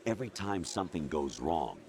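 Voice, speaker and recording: An actor playing a cold, condescending artificial intelligence posing as a commanding officer, lecturing in measured, dramatic video game dialogue. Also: male voice, extremely low pitch, sing-song, very noisy, exaggerated voice. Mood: scornful. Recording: loud music under the voice.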